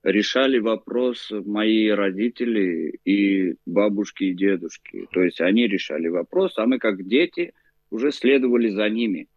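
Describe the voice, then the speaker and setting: A man's voice: lowish voice, moderate pace, dry recording. A man in his forties from Baku, a guest on a remote video-call interview, telling his story at home with many fillers and restarts. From Baku, Azerbaijan. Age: 40s. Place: living room at home. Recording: tinny thin video-call audio, dry.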